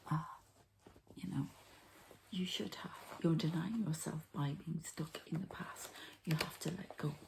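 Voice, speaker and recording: Woman, heavy vocal fry, soft dark tone, breathy ASMR whisper, hushed unhurried card reader. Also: low-pitched, slight background noise, rough recording. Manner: hushed, unhurried, murmuring to the listener.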